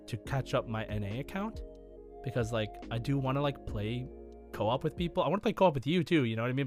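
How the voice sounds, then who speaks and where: Man chatting casually on a gaming podcast. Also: medium pitch. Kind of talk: casual chat.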